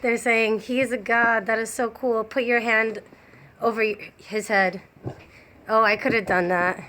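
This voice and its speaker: A woman's voice: a little high, steady tone, a little slow, sharp tone. A woman helping on a live stream, casually reading viewers' comments aloud. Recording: very noisy live stream room sound.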